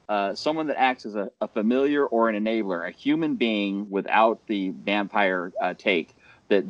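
A man's voice: on the low side, no echo, highs cut off. Man moderating a panel over a video call. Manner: rambling, with many restarts. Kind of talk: casual panel discussion. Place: home room. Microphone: headset.

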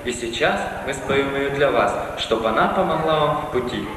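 A man's voice: medium pitch, animated, normal speed, loud music behind the voice, natural voice. A man playing a friendly wandering musician in a children's New Year stage play. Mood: cheerful. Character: friendly wandering musician travelling with his band. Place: theatre stage, recorded from the audience. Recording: distant, echoey hall camcorder recording.

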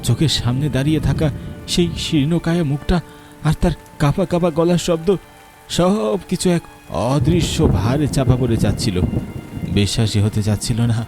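A man's voice: fairly high pitch, animated, moderate pace, music in the background. A man narrating a horror story in a dramatic, fearful way.

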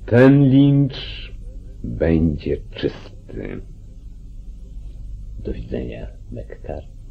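A man playing a grim, authoritative judge in an old radio drama, with a gravelly, husky voice. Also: a bass voice, wildly swooping pitch, very slow, exaggerated voice. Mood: grim, commanding. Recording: dull 1940s radio recording with music.

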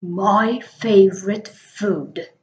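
A woman's voice: mid-range voice, very slow, a little hiss or hum. A woman voicing a big, hungry, menacing monster in a storybook reading. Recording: dull, lo-fi recording.

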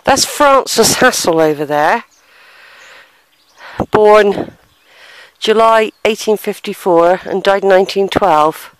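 Middle-aged woman, casual walking vlog, recorded on a handheld camera outdoors. Mid-pitched, hesitant and musing, given to sighs. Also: slow, pauses often, clear, resonant tone, dry recording, poor audio. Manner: musing, hesitant, sighs, reads gravestones aloud. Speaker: family history vlogger. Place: outdoor churchyard in the countryside. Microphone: handheld camera, unseen narrator behind it.

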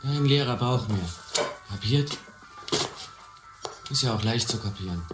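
A man's voice: medium pitch, unhurried, close to an everyday voice. An actor playing a blunt, ambitious young man from the harbour in a radio play, talking colloquially and cockily. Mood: cocky, driven. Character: streetwise, hungry, ambitious harbour youth. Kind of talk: German radio play acting. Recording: roomy, music behind.

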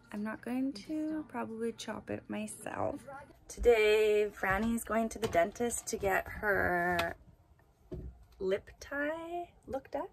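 Woman vlogger with creaky voice. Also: on the high side. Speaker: family vlogger. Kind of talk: casual chat to camera. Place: small family home and yard outdoors. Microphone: camera or phone at arm's length.

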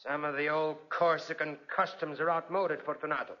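An actor playing a shrewd, needling country sheriff in a 1940s radio drama, with a knowing, pointed manner. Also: male voice, on the high side, flat delivery, fairly quick, close to an everyday voice. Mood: warning. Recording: dull, band-limited 1940s radio recording.

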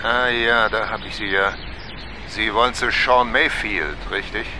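An actor playing a courteous, businesslike prison gate guard in an audio drama. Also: male voice, lowish voice, fairly even intonation, a little slow, noisy background, lightly acted. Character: polite, routine-minded prison gate guard. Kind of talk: German audio drama acting.

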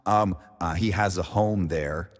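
An actor playing a nervous, hapless spy in an audio drama, hesitant and stammering. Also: male voice, low voice, unhurried. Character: nervous, hapless male spy reporting to a tyrant. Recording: long reverberant hall.

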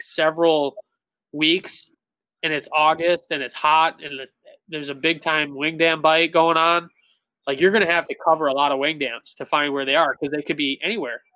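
A man in his thirties, a tournament bass angler, explaining things in a relaxed way full of "like" fillers over a video call. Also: no echo, poor audio. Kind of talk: casual interview talk. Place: indoor room with shelves of tackle. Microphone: webcam on a video call.

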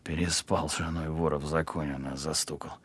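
An actor playing a tough, weary criminal on the run, sardonic and full of street slang, in an audio film. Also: male voice, extremely low pitch, little pitch movement, fairly quick, fairly bright tone, slightly rough, full character voice. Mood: sardonic, bitter.

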